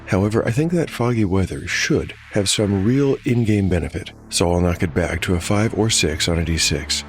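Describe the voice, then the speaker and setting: Deep-voiced man narrating dark fantasy. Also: normal speed, a little hiss or hum. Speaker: game master and narrator. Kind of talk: scripted dark fantasy narration with solo game commentary.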